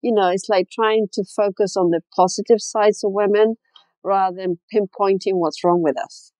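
A woman in her fifties from Chile, a fashion designer as a guest, chatting candidly and with self-deprecating humor in a relaxed interview. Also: mid-range voice, moderate pace, no echo. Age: 50s. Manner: candid, self-deprecating, laughs often, many "you know"s. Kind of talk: casual interview chat.

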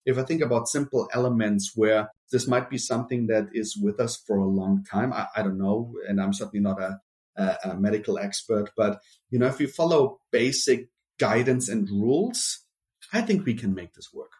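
A man's voice: lowish voice, normal speed, darkish tone. A middle-aged man with a German accent, born in Brazil, speaking casually as an interview guest with many restarts. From Brazil, raised in Germany. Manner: rambling, many restarts and fillers. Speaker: marketing executive guest.

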